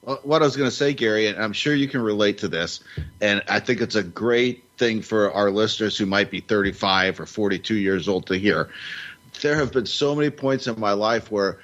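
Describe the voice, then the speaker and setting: A man's voice: lowish voice, normal speed, a bit bright. A man in his fifties co-hosting a podcast, earnestly telling a personal story in casual chat. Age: 50s. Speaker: podcast co-host. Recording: dull lo-fi sound.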